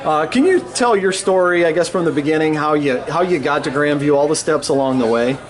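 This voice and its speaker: A man's voice: on the high side, fairly quick. A man interviewing a coach at a wrestling tournament, conversational and restarting his questions. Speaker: wrestling reporter. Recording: loud arena crowd behind. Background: crowd noise.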